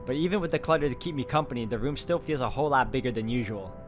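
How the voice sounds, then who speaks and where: A man reading a visual novel aloud as dramatic, brooding first-person narration, phrasing it deliberately. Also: mid-range voice. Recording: background guitar music over the voice.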